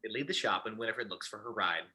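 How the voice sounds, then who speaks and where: A man with a husky voice reading scripted stage directions as narrator of a table read. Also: fairly low pitch, fast, sharp tone, rough recording.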